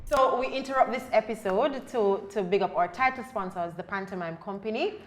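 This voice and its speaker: A woman on a podcast briskly reading a sponsor announcement. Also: medium pitch, a little hiss or hum. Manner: brisk promo delivery. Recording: echoey room.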